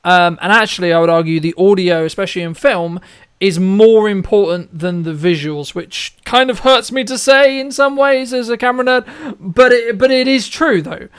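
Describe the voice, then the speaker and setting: High-voiced, clear man hosting an interview. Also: normal speed. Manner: conversational, wordy, rephrases his questions. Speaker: interviewer, host and filmmaker. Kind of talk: casual interview chat.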